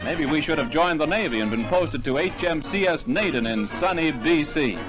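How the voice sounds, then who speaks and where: A man's voice: a little high, lively intonation, normal speed, muffled recording, music in the background. A man reading scripted 1940s newsreel narration in a formal announcer delivery, on a vintage soundtrack.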